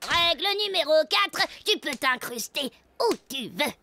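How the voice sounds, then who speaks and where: A woman's voice: sits high, animated, a little slow, creaky, slight background noise, full character voice. A woman voicing a bossy, self-assured preteen girl in a cartoon, confident and showy. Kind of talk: French animated series dub. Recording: loud cartoon music behind.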